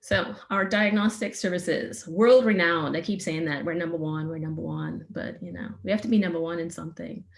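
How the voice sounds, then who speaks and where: Trinidadian woman, lowish voice, friendly. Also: a little fast, vocal fry, hardly any pauses. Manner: friendly, enthusiastic, some fillers. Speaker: veterinarian and researcher giving a talk. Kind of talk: informal science presentation. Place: remote online session.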